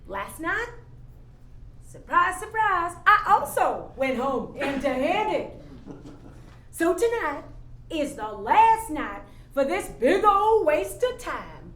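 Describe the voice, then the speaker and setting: A woman's voice: very high voice, very animated, slow pace, a bit bright, full character voice. A woman playing a jaded, outspoken daughter with a blunt, scoffing, emphatic manner in a staged play reading. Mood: scornful, indignant. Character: jaded, outspoken woman chasing money owed to her grieving father. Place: indoor room at a staged reading. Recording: echoey room, noticeable background noise.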